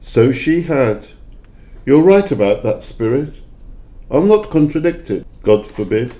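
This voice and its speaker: Man acting a wistful old miser, swooping pitch. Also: big pitch swings, a little slow, dark tone. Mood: wistful, remorseful. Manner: exclamatory, emotional. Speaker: amateur library staff actor. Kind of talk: audio play acting.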